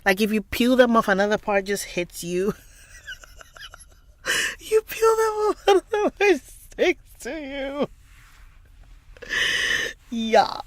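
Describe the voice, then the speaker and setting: A woman with a stuffy, nasal voice from a cold, chatting warmly with livestream viewers and calling them darling, then reading a novel aloud. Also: a little high, animated, slow pace, pauses often. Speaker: livestream book reader.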